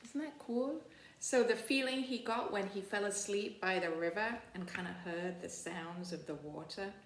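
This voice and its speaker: A woman school librarian reading aloud to children on a library video, teacherly and expressive, often checking in with simple questions. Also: medium pitch, moderate pace, slight background noise. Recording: roomy, echoing sound.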